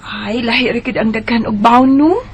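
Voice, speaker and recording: Woman as a high-pitched teasing Bisaya schoolgirl. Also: normal speed, dull audio, slight background noise, close to an everyday voice. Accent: Bisaya Filipino. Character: teasing, cautious schoolgirl, Maria's close friend. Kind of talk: Bisaya radio drama acting. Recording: music behind the dialogue.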